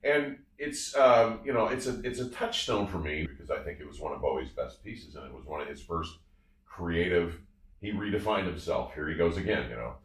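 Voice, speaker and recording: A man's voice: low-pitched, lively intonation, normal speed. A man reviewing audio gear in casual, enthusiastic talk, emphatic and effusive, often repeating his phrases. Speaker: audio reviewer and show host. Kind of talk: casual enthusiastic product discussion. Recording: roomy, somewhat distant from the mic.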